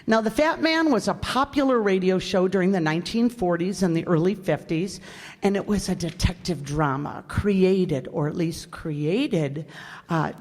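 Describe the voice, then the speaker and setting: A woman's voice: fairly low pitch, a little mellow. A woman speaking informally as director and host, introducing performances to an audience. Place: hall, live stage performance. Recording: reverberant hall.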